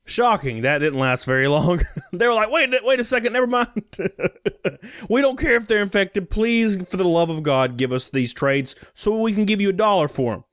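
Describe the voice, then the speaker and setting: A man's voice: high-pitched, lively intonation, normal speed, a bit bright, smooth, dry recording, studio quality. A man giving casual, blunt and sarcastic commentary as a streamer reacting to a recording.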